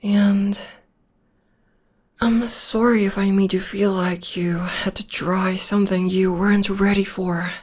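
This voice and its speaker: A woman voicing a gentle young witch girlfriend, soothing her upset partner in a soft, often whispered voice close to the microphone. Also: medium pitch, flat delivery, slow, husky. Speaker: voice actress. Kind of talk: ASMR audio roleplay.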